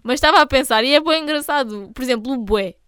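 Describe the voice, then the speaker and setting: Young female co-host, light Algarve Portuguese accent, fast crisp delivery, slangy, talks straight to listeners. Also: high voice, a bit bright, no room sound, studio quality. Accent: European Portuguese, light Algarve. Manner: slangy, addresses listeners directly. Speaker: podcast co-host. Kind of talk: casual chat.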